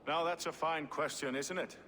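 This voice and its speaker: An actor playing a gruff, suspicious Danish-born soldier in a video game, blunt and sardonic. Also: male voice, a little high, fairly even intonation, normal speed, pushed and bright, big-room sound, slight background noise. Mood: sardonic, bristling.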